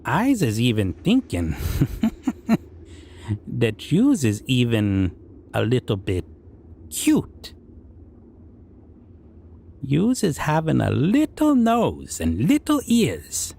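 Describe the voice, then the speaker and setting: A man's voice: medium pitch, huge pitch swings, extremely slow, vocal fry, pauses often, darkish tone, full character voice. A man voicing a civilized goblin king in an audio roleplay, sly and menacing yet amused, musing in broken grammar with hums. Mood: amused, condescending. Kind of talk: character audio roleplay addressed to listener.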